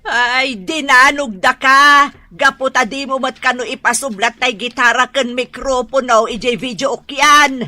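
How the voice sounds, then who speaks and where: A woman's voice: high voice, fairly even intonation, unhurried, few pauses, sharp tone, dry recording, full character voice. A woman playing a fussing, nagging older wife and mother in an Ilocano radio drama. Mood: scolding. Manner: nagging, exclamatory.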